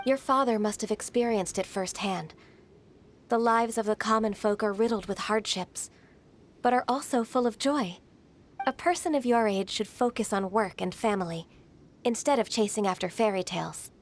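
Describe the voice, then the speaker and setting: A woman playing a soft-spoken, polite young adeptus in a game, speaking earnestly. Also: medium pitch, normal speed, slight background noise, natural voice.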